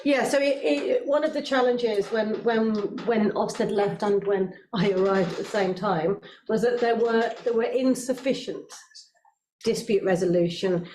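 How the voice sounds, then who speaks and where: A woman explains children's services matters to a scrutiny committee in a steady, measured way, pausing with ums as she goes. She speaks loudly without a working microphone in a reverberant council chamber, with a faint hiss behind her. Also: mid-range voice. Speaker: children's services senior manager. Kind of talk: council committee meeting answers. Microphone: microphone not working, speaking loudly. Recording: roomy meeting room, faint noise.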